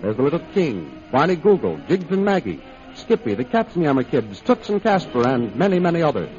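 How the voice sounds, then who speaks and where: A man's voice: on the high side, wildly swooping pitch, a little fast, a little mellow, faint music behind. A male announcer narrating an old radio adventure serial in a theatrical, emphatic, scripted style. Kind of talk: dramatic 1930s radio announcing. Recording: muffled, noisy vintage radio recording.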